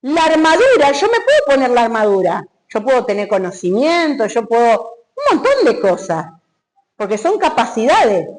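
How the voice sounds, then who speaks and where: A woman's voice: a little high, very animated, moderate pace, poor audio. A woman preaching a sermon, emphatic, and putting questions straight to the congregation.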